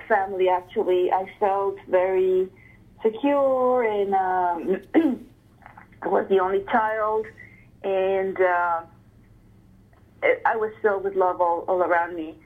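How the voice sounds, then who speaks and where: A woman from Ecuador answering interview questions, hesitant, with many fillers and frequent throat clearing. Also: medium pitch. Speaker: interviewee, artist. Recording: phone-like narrow sound.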